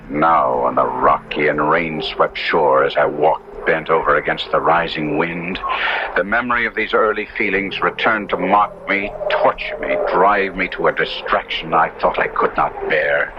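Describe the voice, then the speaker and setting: A tormented young nobleman tells his own tale in a low, brooding voice with formal, theatrical weight. The old radio horror broadcast is dull and heavily noisy. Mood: despairing. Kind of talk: dramatic first-person radio horror narration.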